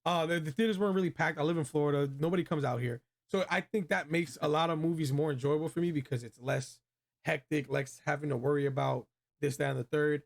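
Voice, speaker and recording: A man's voice: a little high, fast talker, vocal fry. An American man hosting a casual film review chat, talking in an enthusiastic, slangy way. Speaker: host of a movie review show.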